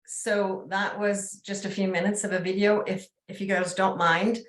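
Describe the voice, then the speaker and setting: A woman's voice: mid-range voice, little pitch movement, normal speed. A woman casually explaining things as she hosts a video call. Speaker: online meeting host. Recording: roomy, echoing video call sound.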